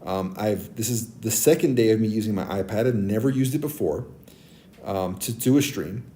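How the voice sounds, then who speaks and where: A man in his forties with a naturally deep, mellow voice chats with his viewers and hands out friendly advice, pausing on ums and starting over. He talks into a tablet mic. Faint noise sits behind him. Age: 40s. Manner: friendly, advice-giving, many ums and restarts. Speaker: streamer. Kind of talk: casual chat with stream viewers. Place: abroad, streaming on a tablet.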